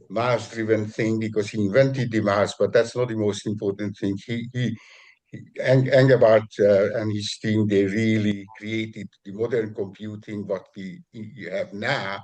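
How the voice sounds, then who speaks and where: A man on a video call explaining his work in a halting way, often restarting and repeating words. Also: fairly low pitch, steady tone, darkish tone. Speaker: guest describing his work. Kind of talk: casual video call conversation.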